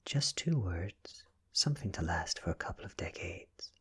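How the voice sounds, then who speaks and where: A British man reading a story aloud in a soft, breathy ASMR whisper, with hushed, deliberate phrasing and pauses. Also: deep voice, expressive. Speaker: audiobook-style ASMR reader.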